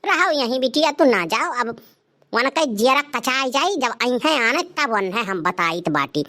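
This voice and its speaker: An indignant village mother from a comedy cartoon, acted by a woman, with an Awadhi accent. Her voice is high and sharp, and she complains emphatically like a bossy elder sister. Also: animated, normal speed. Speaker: voice actor of a comedy cartoon channel. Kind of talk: dialect cartoon comedy acting.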